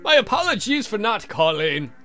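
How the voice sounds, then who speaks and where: A man's voice: high voice, sing-song, moderate pace, sharp tone, faint music behind, exaggerated voice. A man voicing a courtly, exasperated vampire count with dry wit and theatrical formality in a visual novel. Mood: polite.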